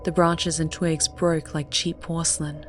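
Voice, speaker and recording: Female audiobook narrator, low register, measured and dramatic, reading fiction over a soft music bed. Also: level tone.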